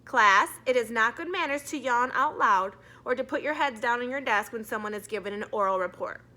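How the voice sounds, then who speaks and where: A woman's voice: on the high side, a little fast, sharp tone. A woman voicing a prim, strict but encouraging woman schoolteacher in a children's storybook, with formal, firm classroom address.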